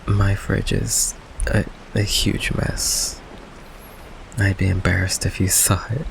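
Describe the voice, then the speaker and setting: A man playing an affectionate but evasive goth vampire boyfriend in an ASMR roleplay, talking haltingly with nervous stammers. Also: sits low, level tone, creaky, slight background noise, full character voice, poor audio. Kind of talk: ASMR roleplay, intimate one-sided conversation. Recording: rain ambience behind close voice.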